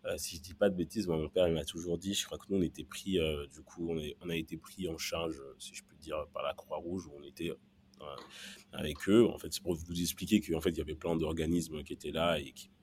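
A man with a very deep voice speaks as an interview guest. He tells a personal story haltingly, repeating words while he looks for the right phrasing. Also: steady tone, a little mellow, slight background noise. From born in Central Africa, raised in Belgium from early childhood. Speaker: podcast guest.

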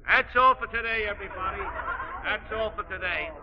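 An actor playing an easygoing, obliging stage assistant in 1940s radio style. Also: male voice, high-pitched, fairly quick, close to an everyday voice. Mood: unworried, obliging. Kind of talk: 1940s American radio acting. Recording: dull radio recording, loud crowd behind.

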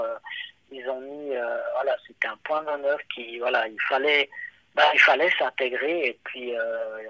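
Swiss French man, very bright voice, dry close sound, hesitant casual interviewee. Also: mid-range voice, dry recording, poor audio. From Switzerland. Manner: hesitant, many fillers and restarts. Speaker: watchmaker and guest.

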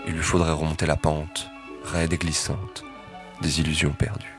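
A man's voice: rumbling low, level tone, normal speed, music in the background. An actor as a grave, mock-epic male storyteller narrating an audio drama. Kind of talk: solemn scripted drama narration.

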